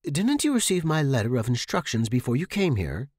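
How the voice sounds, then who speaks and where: A man voicing a stern, bossy landlady in audio fiction, giving brisk, clipped instructions. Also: mid-range voice, sing-song, darkish tone, no room sound, studio quality, pitched above the performer's own voice. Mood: stern, exasperated. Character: stern, bossy woman landlady with strict house rules. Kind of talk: audio fiction character reading.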